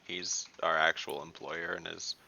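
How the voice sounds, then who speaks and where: A man chatting casually as a player in a tabletop game, with a dry, rambling, deadpan way of talking. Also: sits low, measured pace, gravelly, lo-fi sound. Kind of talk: casual in-character game chat.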